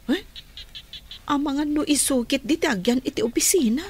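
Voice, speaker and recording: High-pitched young Ilocano working student played by an actress. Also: female voice, normal speed, darkish tone, natural voice. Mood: wary, gossipy. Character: young female restaurant worker, hopeful working student, chatty. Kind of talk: Ilocano radio drama acting.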